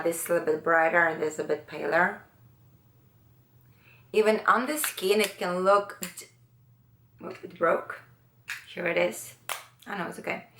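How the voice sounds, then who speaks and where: A woman from Latvia, giving a makeup tutorial in a hesitant way, often pausing to search for words. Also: lowish voice, fairly bright tone, reverberant. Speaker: beauty vlogger.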